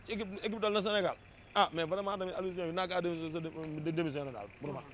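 A man's voice: high-pitched, steady tone, fast talker, fairly bright tone. A Senegalese man giving an impassioned, emphatic opinion in a street interview, often repeating his words. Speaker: street interviewee, football fan. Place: busy city street, outdoors. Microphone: handheld interview microphone. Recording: outdoor street noise. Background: traffic and street ambience.

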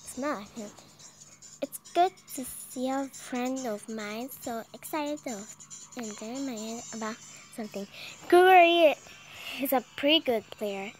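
A young woman reads a visual novel aloud in a very high voice. Her pace is slow and halting, and she often stumbles over words. Music plays behind her. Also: low-quality recording. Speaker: gameplay video creator reading aloud.

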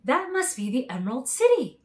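A woman voicing an earnest, determined young girl traveller in a gentle storybook read-aloud. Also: high-pitched, sing-song, fairly quick, voice pushed up. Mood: hopeful.

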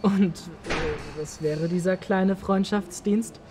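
A man's voice: high-pitched, slow pace. Man playing young amateur detective, earnest, hesitant, polite, naturalistic film dialogue, background noise. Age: young adult.

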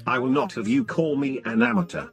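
A man playing a whiny, scheming hacker villain in an animated gaming roleplay, theatrical and given to internet slang. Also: high-pitched, fairly quick, vocal fry, soft background music, full character voice. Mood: annoyed. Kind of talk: animated Minecraft kids roleplay acting.

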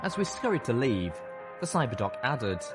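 Male story narrator, lively and dramatic, slightly deep, clear music underneath. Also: normal speed. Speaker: audiobook narrator.

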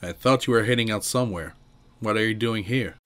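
Man playing a deep-voiced, guarded vampire mentor. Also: brisk, fairly bright tone, lightly acted. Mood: guarded, calm. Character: weary, guarded old male vampire mentor. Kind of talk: amateur audio drama acting.

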